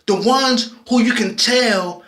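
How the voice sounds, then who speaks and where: High-voiced impassioned man, slow and bright. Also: reverberant. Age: 40s. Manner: impassioned, preachy, with frequent restarts. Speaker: vlogger. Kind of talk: personal video commentary.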